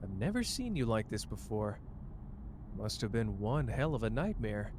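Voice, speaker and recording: A man's voice: on the low side, very animated, normal speed, noisy background. A man playing a cocky, caring young sorcerer in a roleplay ASMR drama, teasing and tender as the listener's partner. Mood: concerned.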